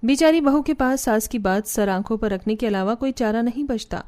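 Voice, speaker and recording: A woman's voice: a little high, moderate pace, a little mellow. A woman giving scripted narration for a cartoon family story.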